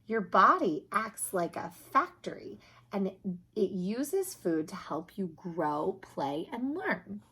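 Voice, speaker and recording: A woman's voice: medium pitch, very animated, takes it slowly, sharp tone, husky, heavily acted. A woman voicing a kindly children's doctor in a picture book, explaining things patiently and encouragingly.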